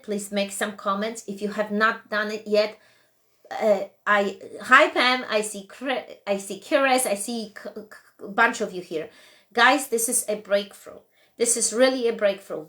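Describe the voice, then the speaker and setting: A woman's voice: fairly high pitch, a bit bright. A woman with a Polish accent, a non-native English speaker, giving an earnest motivational talk to viewers of a live stream, restarting words and repeating phrases. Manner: earnest, repetitive, frequent restarts. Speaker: host and coach.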